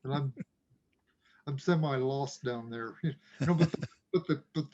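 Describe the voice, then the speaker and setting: Man on a muffled video call, middle pitch, earnest, self-deprecating. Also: slow pace, warm tone, slight background noise. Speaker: webinar participant. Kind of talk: casual comment.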